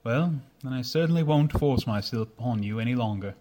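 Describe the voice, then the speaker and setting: A man playing a proud, reserved young gentleman in a radio drama, speaking formally. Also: mid-range voice, expressive, brisk, noisy background, lightly acted. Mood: earnest. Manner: formal, restrained. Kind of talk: old-fashioned radio drama acting.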